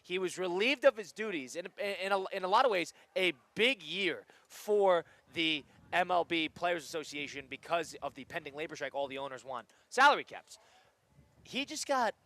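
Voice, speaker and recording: High-voiced young sports radio host. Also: male voice, no room sound. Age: 20s. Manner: animated, quick to laugh, rambling explanations. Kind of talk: casual sports talk. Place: radio studio.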